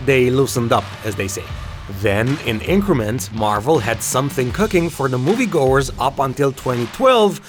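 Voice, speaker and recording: A man narrating an opinionated video essay as host, with a sarcastic, emphatic way of talking. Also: medium pitch. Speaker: video game commentary host. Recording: music behind the voice. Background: background music.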